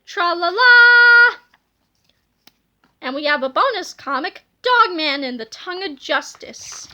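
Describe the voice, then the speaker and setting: A woman reading a children's storybook aloud in an animated, dramatic way. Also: high voice, normal speed, muffled recording. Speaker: storybook reader. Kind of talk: expressive children's book read-aloud.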